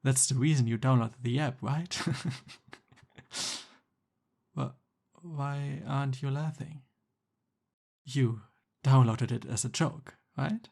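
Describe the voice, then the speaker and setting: Slow-talking, creaky young male Cupid, acted by a man. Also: medium pitch, a little mellow. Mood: amused, uneasy. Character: jealous, exasperated young male Cupid, lovestruck best friend. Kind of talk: boyfriend roleplay ASMR acting.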